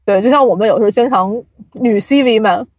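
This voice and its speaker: A woman's voice: fairly high pitch, fairly quick, darkish tone, no echo. A woman explaining at length, with examples, in a casual podcast interview as an invited guest. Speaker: guest, audio drama producer.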